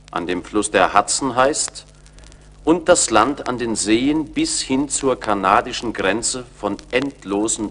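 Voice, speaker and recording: A man narrating a radio play in a measured, deliberate storytelling manner with dramatic weight. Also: on the low side, fairly bright tone.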